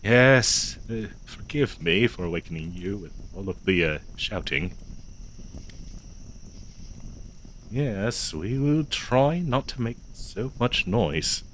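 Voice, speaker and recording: A man playing a flustered, devoted nineteenth-century gentleman in a comedic audio roleplay, verbose and old-fashioned, with a nervous stammer. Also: on the low side, animated, a little slow, few pauses, a little hiss or hum. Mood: sheepish.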